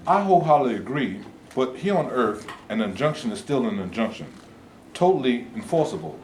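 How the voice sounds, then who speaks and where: A man's voice: mid-range voice, big pitch swings, fairly quick, a little mellow, lightly acted. A man playing a cautious, principled activist leader in a staged play reading, formal and earnest. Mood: cautioning. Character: cautious male civil rights leader, principled, measured. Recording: echoey room with background noise.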